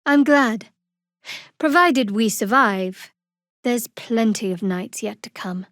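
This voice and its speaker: Guarded fantasy cleric, higher-pitched, close and dry. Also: female voice, slow pace, a bit bright, no echo. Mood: warm, hopeful. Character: guarded young female cleric of a dark goddess, dry and secretive. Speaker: video game character actor. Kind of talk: fantasy video game voice acting.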